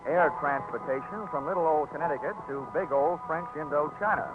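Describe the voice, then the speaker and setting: An American actor playing a wry, hardboiled insurance investigator in a 1940s radio drama, with clipped, wisecracking delivery. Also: male voice, on the high side, a little fast, natural voice. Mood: wry, brisk. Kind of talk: 1940s radio acting, first-person narration and dialogue. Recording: narrow, tinny 1940s radio recording with music.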